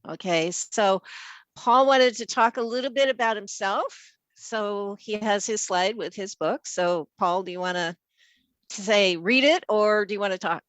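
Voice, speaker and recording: A woman's voice: mid-range voice, unhurried, no echo. An older woman presenting casually on an online call as a guest consultant, with an informal, rambling way of talking. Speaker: featured guest, packaging engineer and consultant.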